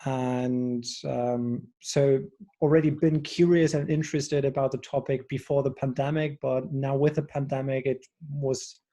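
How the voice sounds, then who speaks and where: A man from Germany, introducing himself casually in halting phrases as a participant. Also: mid-range voice, flat delivery, mellow. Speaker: participant, community builder.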